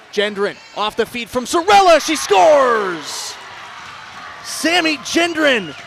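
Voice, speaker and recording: High-pitched man calling live hockey. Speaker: play-by-play commentator. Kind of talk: live ice hockey commentary. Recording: loud crowd and arena noise behind. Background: ice rink crowd.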